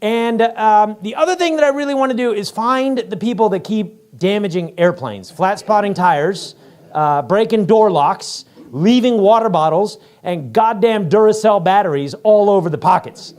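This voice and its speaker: A man with a high, loud voice makes his pitch as a candidate. He speaks informally, with blunt and irreverent humor. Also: expressive, moderate pace. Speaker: candidate giving a speech. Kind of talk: informal campaign speech.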